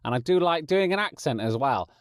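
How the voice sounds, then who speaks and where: A man's voice: fairly high pitch, lively intonation, fairly quick, no room sound. English man hosting, dry close sound. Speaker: podcast host. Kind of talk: casual chat to listeners.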